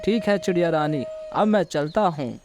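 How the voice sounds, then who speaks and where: A man voicing a treacherous, hungry male vulture in a children's cartoon story, gloating with drawn-out laughs and hums. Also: fairly high pitch, lively intonation. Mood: puzzled, agreeable. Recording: loud music bed.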